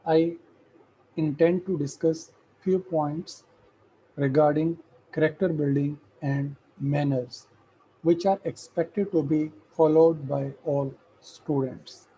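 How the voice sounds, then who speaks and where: A middle-aged man, an Urdu speaker, giving an academic lecture in a slow, measured way with hesitant fillers. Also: on the high side, fairly even intonation, dark tone, lo-fi sound, a little hiss or hum.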